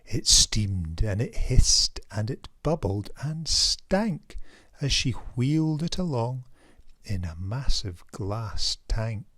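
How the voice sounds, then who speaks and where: Man, children's storybook narrator, slow, measured, theatrical, emphatic rhyming delivery, dry close sound. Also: mid-range voice, a bit bright, dry recording. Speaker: children's author reading own picture book.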